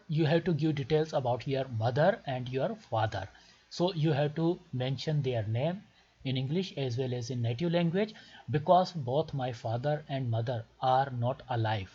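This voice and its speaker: A muffled, lo-fi recording of a man with a Pakistani accent whose native language is Urdu. His voice is rough and husky. He gives instructions step by step in short, deliberate phrases, often repeating himself. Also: mid-range voice, a little mellow. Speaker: tutorial presenter. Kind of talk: step-by-step instructional screen tutorial.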